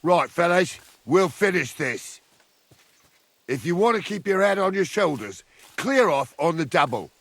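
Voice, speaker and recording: A man playing a menacing, foul-mouthed medieval thug in a video game, barking threats in a strained, gravelly voice. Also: a little high, expressive, measured pace, dry recording. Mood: threatening. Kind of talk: video game cutscene acting.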